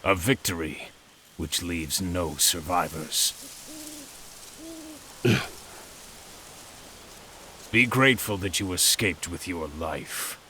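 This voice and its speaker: A man's voice: low-pitched, slow pace, long pauses, sharp tone, a little hiss or hum, heavily acted. A man playing a proud, battle-hardened general in a video game, formal and weighty in manner. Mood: grave. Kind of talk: video game cutscene acting.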